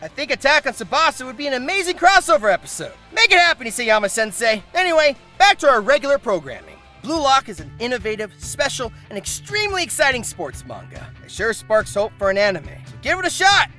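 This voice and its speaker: High, bright, theatrical male review host. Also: very animated, quick pace, few pauses, soft background music. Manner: theatrical, exaggerated emphasis. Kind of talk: scripted comedic review narration.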